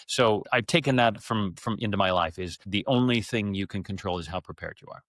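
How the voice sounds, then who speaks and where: A man's voice: lowish voice, brisk, fairly bright tone, dry recording, clean studio sound. A man talking casually and conversationally as an interview guest.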